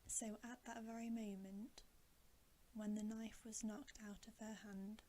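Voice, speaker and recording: A woman reading a bedtime story in a soft, breathy ASMR whisper, gently and with pauses. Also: on the high side, little pitch movement, a little hiss or hum. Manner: slow, gentle, unhurried delivery with pauses.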